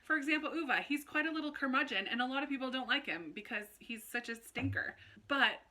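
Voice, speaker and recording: Woman vlogger, very bright and crisp-edged tone, slightly high voice, informal and chatty, talking straight to her audience. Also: fast. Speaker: book vlogger, channel host. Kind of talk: casual chatty video talk to viewers.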